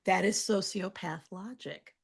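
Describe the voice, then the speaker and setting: Woman comedy co-host, mid-pitched, animated storyteller building to punchlines. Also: unhurried, creaky, breathy edge.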